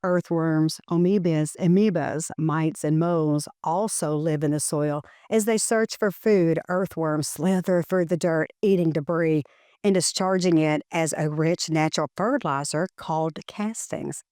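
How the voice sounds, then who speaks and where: A woman reads a children's educational picture book aloud in measured, deliberate phrasing. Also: deep voice, a little mellow, no echo, clean studio sound.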